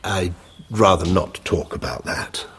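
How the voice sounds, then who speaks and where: Casting: guarded male patient, low-pitched, swinging intonation, halting delivery, period radio drama. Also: expressive, a bit bright, a little hiss or hum. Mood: guarded, subdued. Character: reticent male patient with a paralysed arm.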